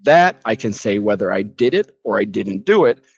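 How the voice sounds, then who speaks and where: A man's voice: on the low side, very animated, moderate pace, darkish tone, slightly rough, no echo. Bilingual guy teaching english, chatty and encouraging, kinda muffled dry audio. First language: bilingual, grew up speaking Portuguese and English. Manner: chatty, encouraging, asks the listener questions. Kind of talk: informal English lesson monologue.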